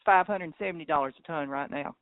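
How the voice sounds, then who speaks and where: A man with a fairly high voice talks over a thin, narrow phone line with very little room sound. He is a cattle farmer, chatting in a folksy, rambling, plain-spoken way. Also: quick pace, no room sound. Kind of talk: casual phone chat. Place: on a phone call.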